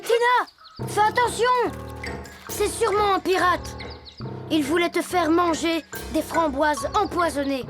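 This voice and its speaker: Earnest high-voiced little boy hippo. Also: a little slow, music in the background. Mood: cross, suspicious. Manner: theatrical, serious storytelling. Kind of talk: French children's cartoon acting.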